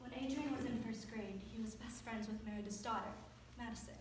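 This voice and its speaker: A woman's voice: on the high side, steady tone, a little fast, natural voice. Young woman acting a grieving mother, distant and echoey. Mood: anguished. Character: grieving, guilt-ridden mother of a school shooter. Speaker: student performer in readers theater. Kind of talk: staged dramatic monologue. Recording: distant echoey stage recording, faint noise.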